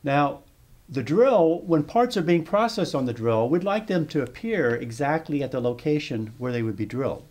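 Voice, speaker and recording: A man narrating a step-by-step software tutorial over screen footage, explaining calmly with small restarts. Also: fairly high pitch, moderate pace. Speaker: software demonstrator.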